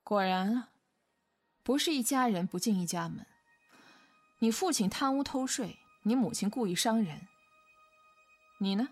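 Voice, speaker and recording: A woman playing a cold, vengeful company boss in an audio drama, speaking in a contemptuous, commanding way with a breathy voice. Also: a little high, lively intonation, a little slow, long pauses. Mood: scornful. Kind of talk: modern audio drama acting. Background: faint music.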